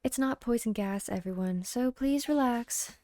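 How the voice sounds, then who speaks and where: A woman's voice: on the high side, a little slow, darkish tone, dry recording, close to an everyday voice. A woman voicing an eerie living doll in a horror game, smug, courteous and theatrical. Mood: soothing. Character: eerie revived talking doll, polite, smug, gleefully unsettling.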